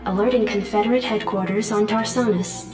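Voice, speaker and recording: A calm, formal computer adjutant who reads out briefings and alerts, as a woman acts her out. Her voice sounds very echoey and set back from the mic, with music underneath. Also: medium pitch, fairly quick, close to an everyday voice. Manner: formal, even announcements. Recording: echoey distant game audio with music.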